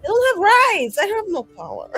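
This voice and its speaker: A woman's voice: very high voice, wildly swooping pitch, moderate pace, vocal fry, fairly bright tone, faint music behind, voice pushed up, exaggerated voice. A woman playing a cheeky, defiant adventurer in a tabletop game, talking in a casual, rambling, blunt way. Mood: cheeky, boastful.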